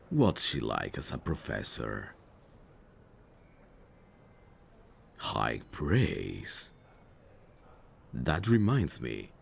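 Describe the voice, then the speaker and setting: A man playing a suave, teasing mafia boss in an ASMR audio roleplay, flirtatious with a faint edge of menace and an unhurried manner. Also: extremely low pitch, vocal fry, a little hiss or hum, heavily acted. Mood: calm, fond.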